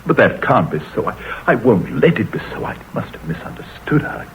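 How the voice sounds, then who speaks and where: An actor playing an anxious, wealthy middle-aged gentleman who speaks in a tense, hesitant way in an old radio drama. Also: mid-range voice, sing-song, brisk, creaky. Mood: fearful. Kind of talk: 1930s radio drama acting. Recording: scratchy tinny old radio broadcast.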